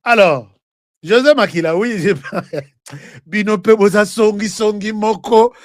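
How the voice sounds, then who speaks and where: A high-pitched man with a Congolese accent hosts an online political commentary, recorded close and dry. He is animated and argumentative, firing rhetorical questions at his viewers and repeating phrases for emphasis. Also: slow pace, dry recording. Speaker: online political show host. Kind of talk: live political commentary to viewers, mixing French and Lingala.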